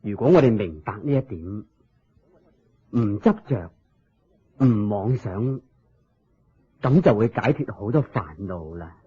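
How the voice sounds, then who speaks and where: An actor playing a serene, wise Buddha in a Cantonese radio drama, teaching in measured phrases with a husky voice and a Hong Kong accent. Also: male voice, on the low side, animated, full character voice. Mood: calm, teaching. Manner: measured, sermon-like explaining in short phrases. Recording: dull lo-fi radio drama recording.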